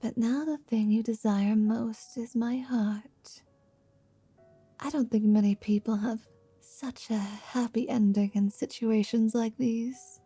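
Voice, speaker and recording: Woman acting a dark, whispery, seductive half-vampire woman. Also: a little high, steady tone, slow, dry recording, soft background music, exaggerated voice. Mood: tender. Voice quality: soft, breathy whisper. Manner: whispery, teasing, languid. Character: confident, teasing, seductive half-vampire woman wizard, haughty yet affectionate. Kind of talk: intimate ASMR roleplay.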